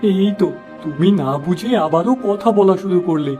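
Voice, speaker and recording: A man's voice: high-pitched, normal speed, mellow, soft background music, lightly acted. A man voicing a gullible, starry-eyed young village shop lad in a cartoon, eager and earnest. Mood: excited, insistent. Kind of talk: Bengali cartoon fable voice acting.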